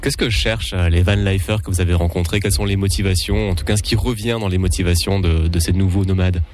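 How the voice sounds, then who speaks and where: A man hosting a radio interview, asking brisk, inquisitive questions. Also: deep voice, fairly even intonation, darkish tone, a little hiss or hum. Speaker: radio host.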